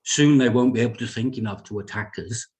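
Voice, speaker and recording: A man playing a gentle, intelligent doctor and father in a dramatic script reading, speaking in a soothing, reassuring manner. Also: mid-range voice, brisk, close to an everyday voice. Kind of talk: dramatic script table read.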